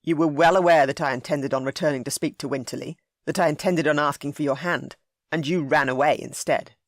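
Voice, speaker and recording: A woman's voice: sits low, fairly even intonation, fairly quick, no echo, clean studio sound. A woman voicing a forthright young duke, blunt and earnest.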